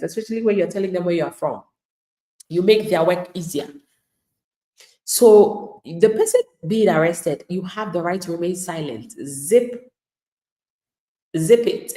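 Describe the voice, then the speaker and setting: A woman's voice: fairly low pitch, dark tone, echoey room. A woman immigration attorney giving live legal advice to viewers in an emphatic, plain-spoken way, acting out the replies of people she describes.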